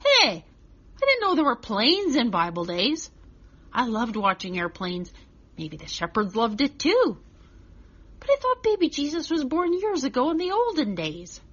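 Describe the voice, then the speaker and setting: A woman's voice: high voice, huge pitch swings, a little slow, fairly bright tone, exaggerated voice. Through slightly muffled audio comes a woman playing a restless little boy of six in a children's story. He chatters earnestly and expressively, in a childlike first-person telling. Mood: earnest, chatty.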